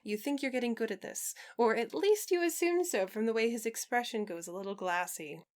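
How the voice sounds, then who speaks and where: A woman's voice: fairly high pitch, animated, a little fast, a little mellow. A woman reading a story aloud as co-reader, in a narrating style with casual asides. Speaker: podfic co-reader.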